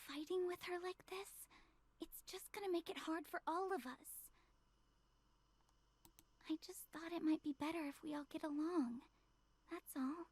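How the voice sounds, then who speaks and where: Woman acting high-voiced, earnest young peacemaker girl. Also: fairly even intonation, normal speed, long pauses. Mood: earnest, pleading. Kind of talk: visual novel game dialogue.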